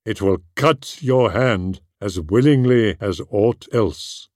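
Man acting a fatherly lord, grave, proud, measured, slow delivery, fantasy audiobook, close dry sound. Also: lowish voice, darkish tone, no echo. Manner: measured, solemn. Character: fatherly warrior lord, proud, grave and kind to his son. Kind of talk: audiobook character voice.

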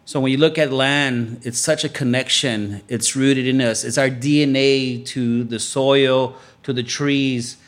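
Mid-pitched man speaking on a panel. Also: steady tone, unhurried, hardly any pauses. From Los Angeles, United States. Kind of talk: unscripted panel talk.

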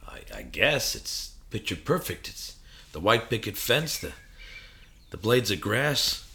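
A man's voice: big pitch swings, unhurried, sharp tone, full character voice. A man playing a confused, suspicious amnesiac hero in an improvised film noir audio play, hard-boiled and prone to stammering. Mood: brooding. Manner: agitated stammering in dialogue, low brooding asides. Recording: clearly noisy background.

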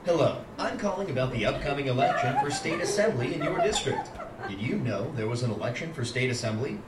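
An actor playing an automated political phone-poll caller who gets increasingly pushy, with formulaic prompts swinging into exaggerated salesmanship, performed live on stage. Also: male voice, medium pitch, very animated, fairly quick, natural voice. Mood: formulaic, polite. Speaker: actor in a live interactive radio play. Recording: roomy, distant, noisy live theater recording.

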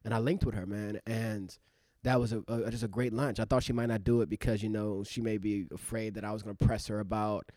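A man's voice: fairly low pitch, steady tone, brisk, a little mellow, no room sound, studio quality. A man in his thirties, an actor co-hosting a podcast, talking in a loose, rambling way with many fillers. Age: 30s. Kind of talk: casual reflective chat.

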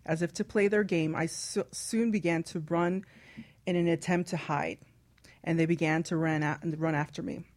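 A woman hosting a casual radio talk show, playful and quick to laugh, reading a short excerpt aloud. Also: lowish voice, fairly even intonation, a little mellow. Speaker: host.

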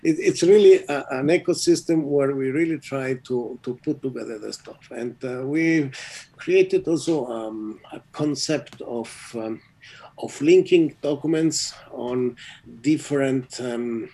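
A man whose first language is Italian, directing an archive and explaining its work in an interview, halting and restarting often. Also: medium pitch, dark tone. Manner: halting, restarts often, laughs at own jokes. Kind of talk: interview conversation.

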